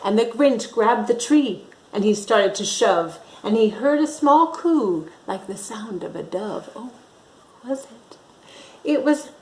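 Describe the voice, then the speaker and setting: A woman storytime reader with a slightly high voice, taking her time. She reads to young children with dramatic emphasis and chatty asides, in a noticeably echoey room.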